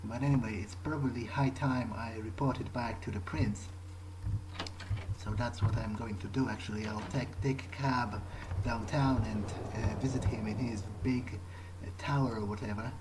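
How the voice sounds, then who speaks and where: A man's voice: medium pitch, fairly even intonation, normal speed, noisy background. A man narrating a video game playthrough in character, thinking aloud in a hesitant, musing way. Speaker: gamer playing in character. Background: music.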